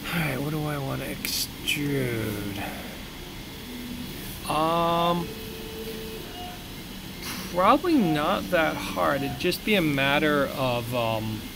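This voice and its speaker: A man's voice: a little high, animated, takes it slowly, long pauses, background noise. A young man thinking aloud with many fillers while he works on a live stream from a small room at home. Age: young adult. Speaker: streamer modeling a prop. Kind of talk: casual live-stream commentary while working.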